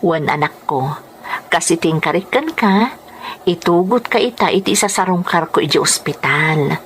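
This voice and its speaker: An actress playing an earnest, gentle young mother in a radio drama, speaking with feeling. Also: on the low side, animated, normal speed, natural voice. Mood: tender. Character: earnest, pleading young mother, tender with her child. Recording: faint background noise.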